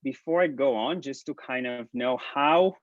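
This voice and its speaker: A man from Israel with an Israeli accent, giving a hesitant, halting talk full of restarts on a video call. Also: medium pitch, no room sound. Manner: halting, many restarts and ums. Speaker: presenter. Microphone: laptop mic on video call. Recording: dull lo-fi video call audio.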